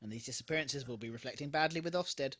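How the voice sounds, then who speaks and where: A man co-hosting a podcast in casual, expressive chat, reacting freely and swearing easily. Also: mid-range voice, fast, dry recording, clean studio sound. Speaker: podcast co-host.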